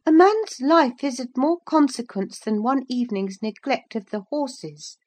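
Lady reader doing snooty young woman, high voice, sharp tongue, audiobook style. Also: animated, a little slow. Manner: haughty, sharp.

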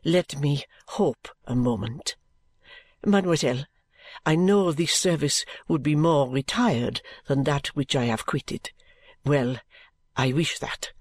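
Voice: mid-range voice, slow pace, no echo, pitched below the performer's own voice. A narrator voicing a fervent, courtly Frenchwoman with a French accent, earnest and pressing in an audiobook story. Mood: earnest hope. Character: fervent, intense Frenchwoman seeking work as a lady's maid.

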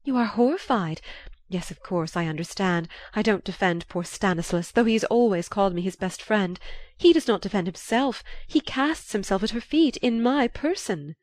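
A woman voicing an effusive, theatrical older marchioness in an audiobook, with a sighing, drawn-out, confiding delivery. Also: medium pitch, lively intonation, darkish tone, natural voice. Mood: confiding, pleading.

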